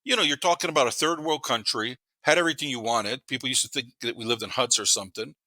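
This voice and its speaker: Bright, punchy man in his fifties. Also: mid-range voice, quick pace, no echo. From Panama. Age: 50s. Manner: blunt, slangy, profane, repeats words for emphasis. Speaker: podcast guest. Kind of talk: casual chat, storytelling.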